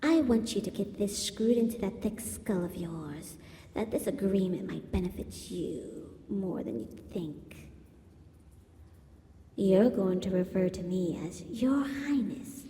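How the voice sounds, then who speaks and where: A woman's voice: mid-range voice, lively intonation, dark tone. A woman playing a villainous female spider robot in an ASMR roleplay, a seductive, menacing captor who talks in a haughty, taunting purr. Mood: imperious, taunting. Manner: slow, purring, haughty and taunting. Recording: echoey hall-like reverb.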